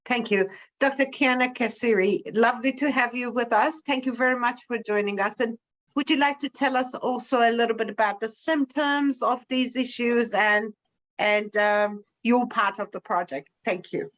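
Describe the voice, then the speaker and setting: A woman with a fairly high voice moderates an online panel with gracious politeness. Her video-call audio sounds muffled and lo-fi. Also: normal speed, a bit bright. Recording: dull lo-fi audio.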